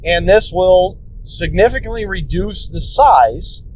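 A man's voice: expressive, slow, a little mellow. A man hosting a podcast, casually explaining how he edits his episodes, rambling with restarts and mild swearing, recorded on a phone in a car. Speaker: podcast host. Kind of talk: casual instructional talk. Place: inside a car on the road. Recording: muffled phone recording with road noise. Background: road rumble.

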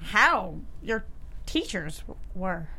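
A woman's voice: mid-range voice, sing-song, slow pace, bright, forceful tone, slight background noise, exaggerated voice. A woman playing a sardonic, exasperated grown daughter at a comedy table read.

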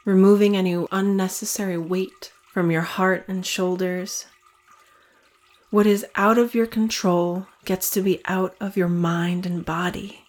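A woman guides a short meditation in a calm, soothing voice. She speaks very slowly, leaving long pauses between phrases. Her pitch stays level and even throughout, never rising or falling much. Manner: slow, soothing, evenly paced with long pauses.

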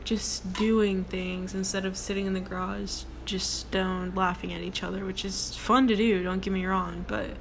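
A young woman with a deep voice hosting her own casual solo podcast from her workplace, rambling with many ums and likes in a relaxed way. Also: moderate pace, few pauses, low-quality recording. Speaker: podcast host. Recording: noticeable background noise.

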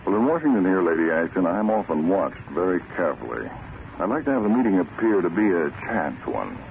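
An actor playing an authoritative, calculating intelligence chief with a businesslike manner in an old-time radio drama. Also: male voice, sits low, big pitch swings, a little fast, vocal fry, exaggerated voice. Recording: tinny vintage radio recording, light noise.

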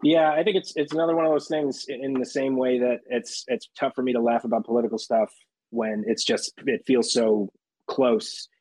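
A man's voice: mid-range voice, steady tone, normal speed, a little mellow, husky, no room sound, rough recording. A man on a roundtable podcast panel, weighing his opinion thoughtfully and hedging. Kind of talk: casual roundtable chat.

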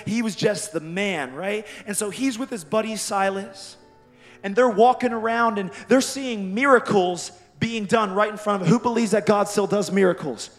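A young man with a worn-out, hoarse voice, preaching a lively youth sermon and calling out to the crowd. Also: sits high. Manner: energetic, calls out to the crowd. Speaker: youth pastor.

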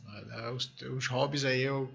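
A man's voice: medium pitch, fairly even intonation, measured pace, gravelly, a bit bright, highs cut off. A man in his thirties from Brazil hosting a casual conversation, telling enthusiastic anecdotes about his family.

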